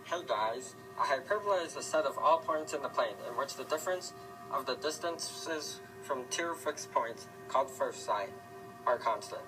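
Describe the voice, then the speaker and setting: A casual male puppet, played by a man, recites a maths explanation at middling pitch. The voice is off the mic, with clear music. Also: lively intonation, moderate pace, natural voice. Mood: explanatory. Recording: distant mic, music over voice.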